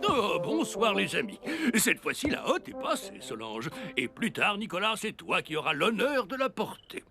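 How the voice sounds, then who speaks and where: A man's voice: sing-song, moderate pace, creaky, exaggerated voice. A man playing a jovial, fatherly old Santa Claus in a French children's cartoon. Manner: jovial, theatrical, booming chuckles. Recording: music behind the voice.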